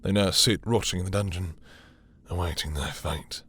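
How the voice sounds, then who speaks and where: A man playing a possessive, dominant vampire lord in intimate roleplay audio, with a husky, breathy voice and a teasing, seductive, condescending manner. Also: deep voice, moderate pace, fairly bright tone, slight background noise. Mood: cold, smug. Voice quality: husky, breathy, dropping into whispers.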